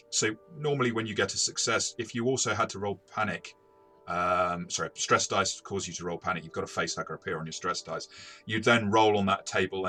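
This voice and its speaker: A man's voice: fairly low pitch, sharp tone, breathy edge. A man talking through a tabletop game review as its game master, explaining in a conversational, self-correcting way.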